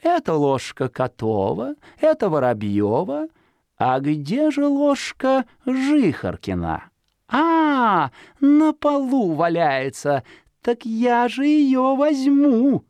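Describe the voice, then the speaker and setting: A woman voicing a sly, predatory female fox in a storybook, coaxing in a drawn-out, cunning way. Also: on the high side, wildly swooping pitch, a little mellow, dry recording, pitched above the performer's own voice, full character voice. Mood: sly, scheming.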